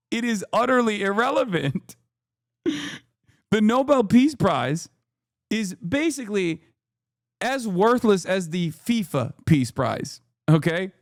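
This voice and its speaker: A man with a fairly high voice, talking close to a mic in a dry, dead room. He reacts to the news as a streamer, animated and sarcastic, laughing often and swearing freely. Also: dry recording. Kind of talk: casual live-stream commentary.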